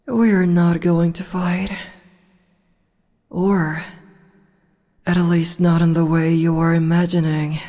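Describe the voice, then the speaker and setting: A woman voicing a commanding, teasing demon empress in an ASMR audio roleplay, speaking in slow, low whispers. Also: flat delivery, warm tone, long reverb tail. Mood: coaxing. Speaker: voice actress.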